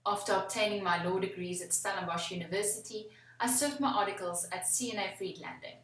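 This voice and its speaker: South african woman, afrikaans native speaker, formal measured answers, roomy echo, sits back from the mic. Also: medium pitch, a bit bright, recorded from a distance. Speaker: attorney. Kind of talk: scripted interview answers. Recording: echoey room, away from the mic.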